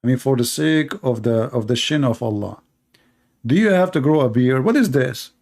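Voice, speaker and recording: A man speaks in a mid-range, gravelly voice with lots of vocal fry. He hosts a commentary show, ridiculing clips in a sarcastic, rambling manner, stopping often for fillers. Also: mid-range voice, expressive, normal speed, darkish tone. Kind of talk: mocking commentary on video clips.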